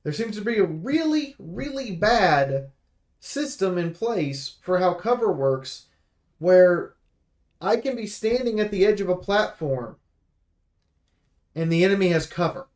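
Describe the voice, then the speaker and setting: A man's voice: sits high, lively intonation, a little slow, darkish tone. A man giving casual, opinionated commentary on a game preview, speaking bluntly and with emphasis. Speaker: game reviewer and streamer.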